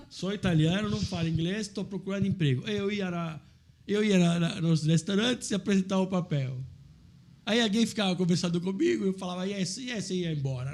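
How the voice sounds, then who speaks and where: An Italian man of middle age, a restaurant owner telling his story in a casual chat, animated and laughing as he talks. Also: sits high. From Italy.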